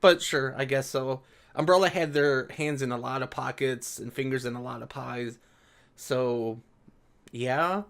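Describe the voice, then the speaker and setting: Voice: mid-range voice, steady tone, measured pace. A sarcastic, mocking commentator with an informal manner, reading aloud and reacting. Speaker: video commentator.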